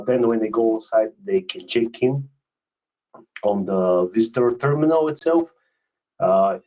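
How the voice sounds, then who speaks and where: A man giving a webinar product presentation as a specialist, speaking in a hesitant, halting way and often repeating words. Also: fairly low pitch, darkish tone, poor audio.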